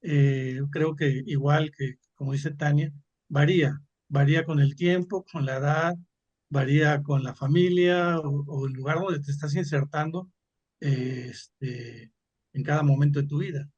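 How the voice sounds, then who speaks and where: A man speaking slowly and hesitantly on a video call, with dull, muffled audio. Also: a little high, steady tone, darkish tone.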